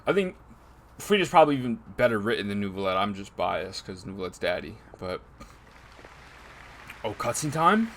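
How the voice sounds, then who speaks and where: A man's voice: fairly low pitch, sing-song, normal speed, pauses often, a bit bright, a little hiss or hum. A young man giving casual, slangy, hyped reaction commentary as a streamer over a game. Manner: hype, slangy interjections.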